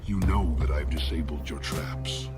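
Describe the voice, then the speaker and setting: An actor playing a cold, menacing killer who narrates his own horror tale in formal, ornate, measured language. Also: very deep voice, loud music behind the voice. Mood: cold threat. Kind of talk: scripted first-person horror narration.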